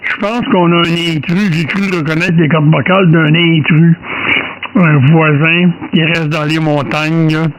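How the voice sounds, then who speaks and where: A man's voice: fairly high pitch, level tone, measured pace. A man with a Quebec French accent chatting casually and hesitantly, teasing his friends as he leads an amateur radio round. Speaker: amateur radio operator leading a round-table contact. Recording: radio chatter with faint noise and clicks.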